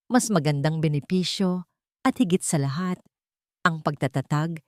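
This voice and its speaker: A female storyteller with a deep voice reads romantic fiction dramatically. Her phrasing is deliberate and emotive, and the sound is dry and close. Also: a little mellow, no echo. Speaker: story narrator.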